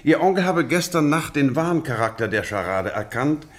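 An actor playing a shrewd, probing detective in a radio drama, formal, measured and relentless in questioning. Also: male voice, a little high, sing-song. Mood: accusing. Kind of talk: scripted radio drama dialogue.

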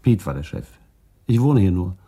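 A middle-aged actor playing a calm, reflective traffic policeman under questioning in a German radio crime drama, speaking in a measured, guarded way. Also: sits low, slightly rough, heavily acted.

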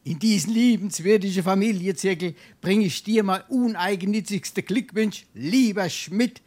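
A man's voice: high-pitched, normal speed, a little mellow. A man playing a wily, shameless scrounger in a Darmstadt dialect stage comedy, pompous and full of flowery courtesy. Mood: unctuous flattery. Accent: Darmstadt Hessian. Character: wily, shameless male scrounger and bon vivant.

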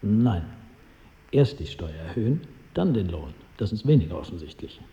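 A man's voice: fairly low pitch, big pitch swings, normal speed, mellow. An actor playing a courteous, cynical adviser to a king in a radio drama, dry and deferential. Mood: cool, scheming. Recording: dull sound with hall reverb.

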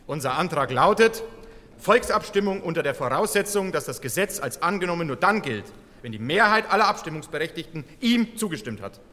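A man's voice: fairly high pitch, very animated, brisk, bright, forceful tone. A man playing an insistent, combative member of parliament, speaking formally and emphatically in a dramatised debate. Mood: firm, formal. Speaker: actor in a documentary radio play. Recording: reverberant large hall.

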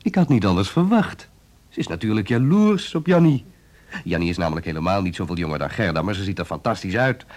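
Low-voiced, sardonic Dutch husband. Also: male voice, sing-song, quick pace, darkish tone. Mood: mocking. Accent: Netherlands Dutch. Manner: dry, sardonic, teasing. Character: wry, mocking husband, adult man. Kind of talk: radio drama acting.

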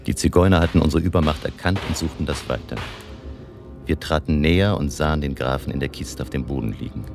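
Grave older male vampire hunter, radio horror drama narrator. Bottomless, creaky voice, solemn and intense, faint score underneath. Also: extremely low pitch. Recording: faint music behind the voice.

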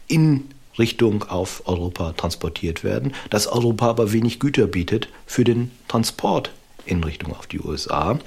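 A man giving explanatory interview answers as an expert, in measured academic phrasing. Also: on the low side, gravelly, breathy edge.

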